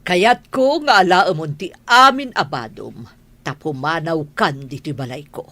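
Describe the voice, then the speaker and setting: A woman's voice: deep voice, lively intonation, unhurried, a bit bright, no echo, heavily acted. A woman playing a haughty, angry madam of the house, scolding imperiously in a radio drama. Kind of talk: Ilocano radio drama acting.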